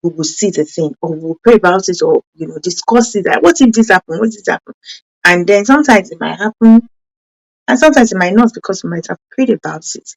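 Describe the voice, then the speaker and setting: A woman's voice: lively intonation, fairly quick, gravelly, rough recording. Earnest woman, Yoruba-speaking. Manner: earnest, self-correcting, with sudden rises in pitch for emphasis. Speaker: parenting channel host.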